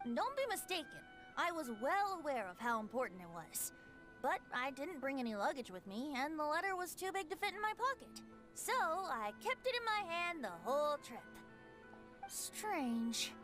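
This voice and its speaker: A woman's voice: sits high, big pitch swings, normal speed. A woman voicing a carefree, playful young male bard who likes his drink. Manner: light, teasing, breezy. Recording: game audio with music behind.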